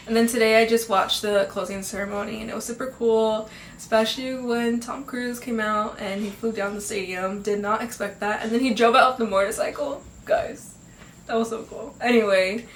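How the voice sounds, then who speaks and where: A woman's voice: fairly high pitch, a little hiss or hum. Chatty teen girl hosting a podcast. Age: teens. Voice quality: slightly nasal from congestion. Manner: chatty, upbeat, many fillers and laughs. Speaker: podcast host. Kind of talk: casual chat. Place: home room. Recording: echoey home room, faint ambience.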